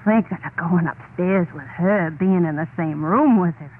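An actress playing a haunted, unhinged wife confessing to murder in an old radio horror play, intense and halting, insistently repeating herself. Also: female voice, fairly low pitch, natural voice. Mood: dread. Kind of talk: old-time radio horror monologue, dramatic first-person narration. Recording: tinny, band-limited old radio recording, faint noise.

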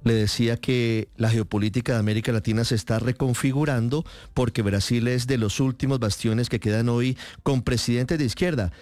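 A man anchors a morning news show, commenting affably and agreeing with his co-host. His voice sits at a medium male pitch. The studio sound is dry and close. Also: fairly even intonation, a little fast, hardly any pauses, a little mellow, no echo.

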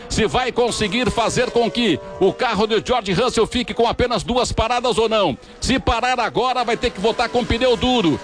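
High-voiced Brazilian man calling a race. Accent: Brazilian Portuguese. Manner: fast, excited play-by-play with repeated stammers. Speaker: race commentator. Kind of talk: live radio motor-race commentary.

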